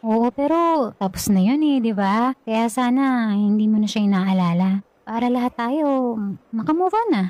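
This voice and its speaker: A woman voicing a young woman in a radio drama, caught off guard by her former boyfriend and ducking his questions. Her voice sits fairly high and sounds close and dry. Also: expressive, normal speed, a little mellow, no echo, lo-fi sound, natural voice. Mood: uneasy. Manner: hesitant, evasive. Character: young woman, flustered ex-girlfriend now engaged.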